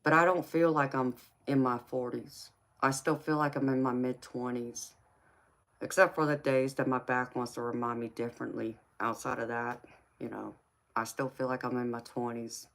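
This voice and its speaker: A woman's voice: extremely low pitch, steady tone, normal speed. A woman in her forties, a tarot reader chatting casually with viewers on a live stream and answering their comments by name. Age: 40s.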